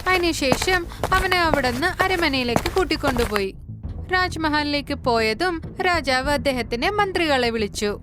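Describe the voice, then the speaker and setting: A woman narrating an animated moral story in a simple, colloquial way, with background music. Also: high voice, normal speed.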